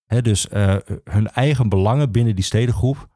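A man the explains history informally as an expert guest, halting and repeating himself as he searches for words. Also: fairly low pitch, dark tone, dry recording, clean studio sound. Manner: hesitant, halting, with many pauses and repetitions. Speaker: archivist, podcast guest.

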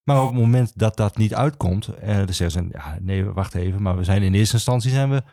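Male expert guest: dark, soft-edged delivery, very dry close sound, halting explanatory phrasing. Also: lowish voice, no room sound. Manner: halting, hesitant phrasing with self-corrections. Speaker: archivist, podcast guest. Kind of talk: explanatory interview talk on history.

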